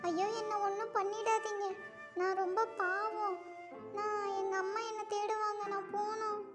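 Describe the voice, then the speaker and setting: Woman doing a tiny high-pitched timid bird girl. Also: flat delivery, moderate pace, over loud music. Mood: frightened pleading. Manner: pleading, childlike. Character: timid, innocent little orphan bird girl, affectionate. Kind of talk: children's bedtime story character.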